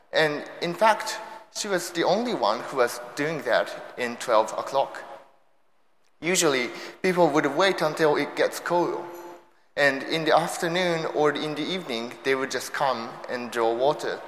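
A man's voice: a little high, a bit bright, slight background noise. Young Japanese-accented man in echoey hall. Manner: light self-deprecating humor. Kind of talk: sermon talk. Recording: echoey hall with long reverb.

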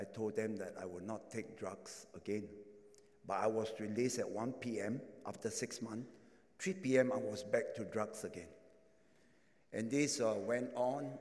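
A man preaching a sermon and sharing his testimony, in non-native English phrasing with halting restarts. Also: on the low side, darkish tone. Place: large echoing hall. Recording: echoing hall.

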